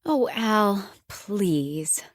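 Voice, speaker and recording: An exasperated young actress with big ambitions in a dramatised audiobook. Her speech is theatrical and emphatic, with highly animated intonation. The recording is dry and close. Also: drawn-out words, no echo. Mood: indignant. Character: exasperated, ambitious young blonde actress tired of vamp roles.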